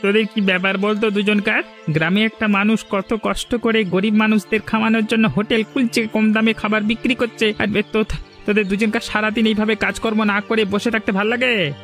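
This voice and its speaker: A man's voice: high-pitched, little pitch movement, fairly quick, a bit bright, faint music behind. A man voicing a stern village shopkeeper in a cartoon, lecturing and reproachful. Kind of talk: cartoon dialogue.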